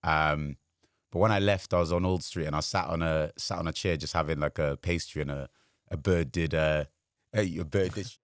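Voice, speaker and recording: Young guy, really deep voice, rambly chatty storytelling, dry close sound. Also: fairly even intonation, moderate pace, dry recording. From Wales. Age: 20s. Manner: rambling, filler-heavy storytelling. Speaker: guest, sports journalist. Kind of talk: casual interview chat.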